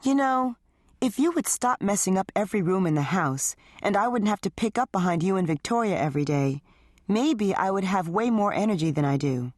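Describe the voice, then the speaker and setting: A woman voicing an exhausted, stressed wife who speaks in a pleading, strained way, a little higher than her narration. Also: animated, brisk, no room sound, studio quality, natural voice. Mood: upset.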